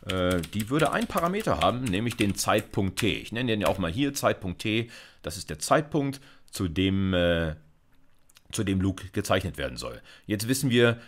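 A man, a university lecturer thinking aloud informally as he explains live coding. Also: deep voice. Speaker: university computer science lecturer. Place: indoors, seated at a computer.

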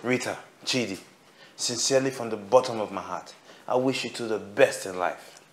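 A man's voice: lowish voice, moderate pace, lightly acted. A man playing a brother who playfully warns his brother-in-law. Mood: teasing. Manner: mock-threatening, playful. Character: teasing protective brother, adult man. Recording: echoey room with noise.

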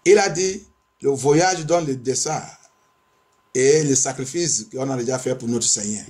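A man's voice: mid-range voice, measured pace, darkish tone. A male pastor preaching and praying in two languages, declamatory, insistent and repetitive. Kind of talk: bilingual sermon and fervent prayer.

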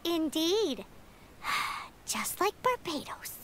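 A woman's voice: extremely high pitch, sing-song, drawn-out words, pushed and bright, slight background noise, full character voice. A woman voicing a tiny, chirpy, childlike floating fairy companion in a video game. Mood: curious.

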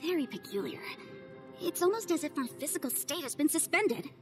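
A poised young adviser, speaking in formal, carefully chosen words in a game cutscene. Her tone is composed and steady. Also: sits high, a little fast, soft background music. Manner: formal, precise wording. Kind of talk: video game cutscene voice acting.

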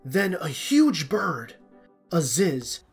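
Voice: medium pitch, sing-song, drawn-out words, husky. A narrator reading a scripted storybook tale. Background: plucked string music.

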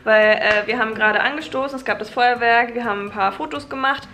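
A woman's voice: fairly high pitch, quick pace, bright, forceful tone, a little hiss or hum. A woman in her twenties chatting in an easygoing, upbeat way to a handheld camera at home. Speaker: vlogger co-host. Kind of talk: casual chat. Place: apartment, indoors.

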